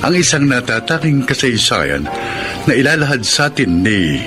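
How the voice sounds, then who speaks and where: A man's voice: mid-range voice, big pitch swings, normal speed, over music. A man with a Filipino accent announcing a radio drama in a formal, scripted classic style.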